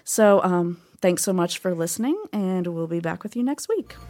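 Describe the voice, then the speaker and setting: Woman, podcast host, fairly low voice, upbeat, detailed explainer. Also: lively intonation, moderate pace, a little mellow, soft background music. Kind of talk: casual chat. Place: recording studio.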